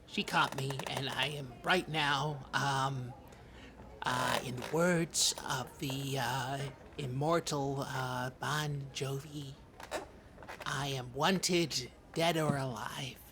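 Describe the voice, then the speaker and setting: A performer playing a dramatic, skittish, childishly petulant male podcast co-host, halting and stammering through improvised comedy chat. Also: mid-range voice, steady tone, noisy background, lightly acted. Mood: hushed, fearful.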